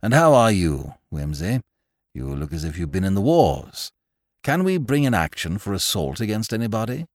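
A man's voice: lowish voice, very animated, normal speed, no room sound, studio quality, voice pushed up. A man voicing an effusive, jovial English barrister in an audiobook, urbane and talkative, with an upper-class English accent. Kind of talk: audiobook character voice.

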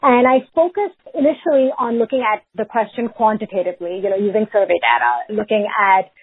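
A woman from Pakistan, a researcher answering interview questions in a measured way, often hedging with "sort of" and "if you will". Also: medium pitch, husky. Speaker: researcher and guest. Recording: phone-like remote line.

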